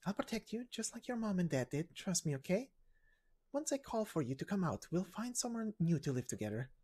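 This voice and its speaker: A woman's voice: lowish voice, big pitch swings, fairly quick, mellow, husky. Woman playing an earnest, protective knight leader. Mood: reassuring. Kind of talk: game story voice acting.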